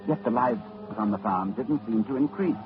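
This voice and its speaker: A man's voice: on the low side, brisk, noisy background, natural voice. An actor narrating as a learned, grave man in a 1940s-style radio horror drama, telling the tale dramatically. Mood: solemn. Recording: dull old radio broadcast with music.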